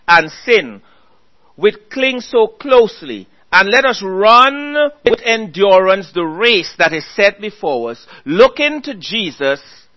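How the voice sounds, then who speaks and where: A man preaching a sermon, earnestly exhorting his listeners and reading scripture aloud slowly. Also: high-pitched, dull audio.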